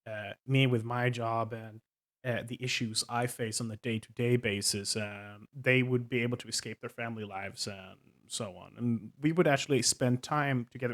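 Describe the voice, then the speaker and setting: Hesitant Swedish-accented man. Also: mid-range voice. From Sweden. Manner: hesitant, many ums and uhs, self-correcting. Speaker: game master. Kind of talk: casual spoken explanation to viewers.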